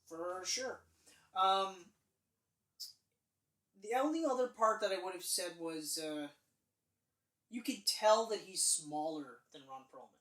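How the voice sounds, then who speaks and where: A man's voice: sits high, expressive, takes it slowly, long pauses, a bit bright. A man co-hosting a podcast, chatting casually about movies in a rambling way, full of fillers and restarts. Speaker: podcast co-host. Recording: roomy echo, mic distant.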